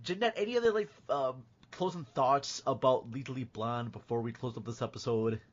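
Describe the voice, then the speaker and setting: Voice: medium pitch, fairly quick. A podcast host talking in a casual, chatty style. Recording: dull lo-fi audio.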